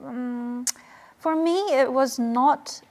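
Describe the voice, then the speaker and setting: A young woman raised in New Zealand, a guest singer-songwriter, chatting casually in an interview, soft-spoken and hesitant, with frequent laughs and fillers. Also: sits high, extremely slow. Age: young adult.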